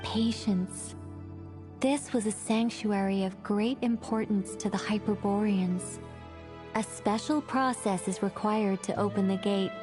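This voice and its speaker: A woman's voice: mid-range voice, unhurried, over music, lightly acted. An actress playing a self-assured scholarly woman in a video game, formal and precise as she explains at length. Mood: composed, formal.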